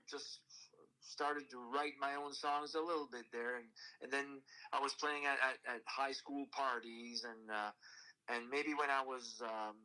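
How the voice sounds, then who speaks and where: French-speaking guy, forties, super bright voice, lots of uh and restarts. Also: mid-range voice, clean tone, poor audio. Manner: halting, many restarts and fillers. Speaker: country singer guest. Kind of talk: casual interview chat.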